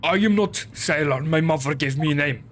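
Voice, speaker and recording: A man voicing a gruff, rude male ship guard with a Russian accent and clipped broken speech, for a game. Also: on the high side, brisk, slight background noise, pitched below the performer's own voice, natural voice.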